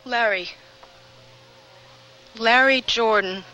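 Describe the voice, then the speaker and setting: Young woman in a radio drama, bright clear-cut voice, earnest, guilt-laden and halting. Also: a little high, muffled recording, exaggerated voice. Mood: haunted, intense. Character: troubled young woman confessing a guilty past.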